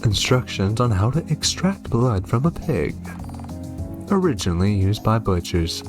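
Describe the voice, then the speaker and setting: A man's voice: on the low side, big pitch swings, measured pace. A man giving commentary on a horror game, reacting and reading on-screen text aloud. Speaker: gaming video host. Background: game music.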